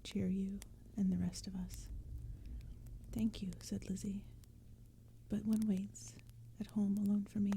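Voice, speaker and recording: A woman reading poetry as ASMR narration in a soft, breathy, hushed whisper, gentle and measured. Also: mid-range voice, flat delivery. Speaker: narrator and reader. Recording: background crackling fire and forest ambience. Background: crackling fire and forest sounds.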